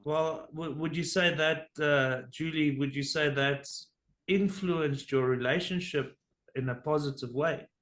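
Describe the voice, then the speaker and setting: A South African man with Afrikaans as his first language, hosting a relaxed, joking interview. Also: fairly high pitch, unhurried. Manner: relaxed, joking, swears casually. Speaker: interview host. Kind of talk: casual interview.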